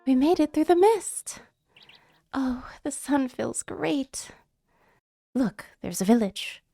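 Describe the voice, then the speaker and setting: A woman's voice: high voice, animated, takes it slowly, vocal fry, warm tone, dry recording, clean studio sound, faint music behind, full character voice. A woman voicing a sheltered young princess in a video game, formal, earnest and determined. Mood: wondering.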